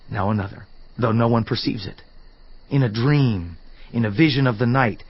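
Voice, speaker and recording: A man's voice: mid-range voice, husky, dry recording. A man playing an earnest, indignant counselor in a dramatized scripture reading, solemn, emphatic and declamatory in delivery. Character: Elihu, earnest indignant male counselor rebuking a suffering man. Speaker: voice actor in audio Bible. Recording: slightly dull sound, music underneath. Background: background music.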